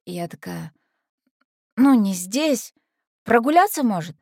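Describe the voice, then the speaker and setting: A woman's voice: fairly high pitch, very animated, slow, gravelly, darkish tone, no echo, studio quality, exaggerated voice. A woman playing a brash, lovestruck teenage orphanage girl, telling her story in a dramatic monologue with slangy, naive, confiding speech. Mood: nervous, smitten. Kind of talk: dramatic first-person monologue.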